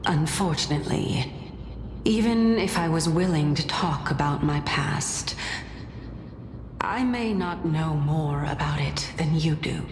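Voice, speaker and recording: Slow, cryptic goddess. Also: female voice, sits low, expressive, a little hiss or hum. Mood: wry, wise. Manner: measured, knowing, aloof. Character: serene, cryptic titan goddess of reason. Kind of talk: dramatic video game voice acting. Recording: echoey reverberant hall, faint music.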